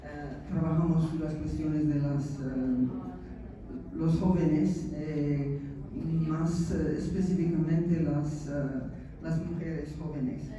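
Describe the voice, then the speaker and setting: Slow, halting American woman in echoey hall. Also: low voice, steady tone. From United States. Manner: halting, searching for words in a second language. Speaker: panelist. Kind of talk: conference panel remarks. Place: large conference hall. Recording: echoey hall from far off, audience noise.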